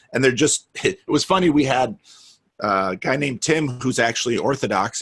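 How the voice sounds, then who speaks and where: Husky-voiced earnest man. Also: medium pitch, a bit bright. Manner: earnest, storytelling, frequent restarts. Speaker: guest, meetup organizer. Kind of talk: casual conversation.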